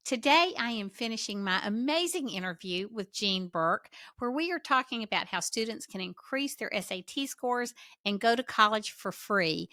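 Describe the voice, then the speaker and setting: Female podcast host, crisp bright tone, dry studio sound, friendly interviewer. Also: mid-range voice, no room sound.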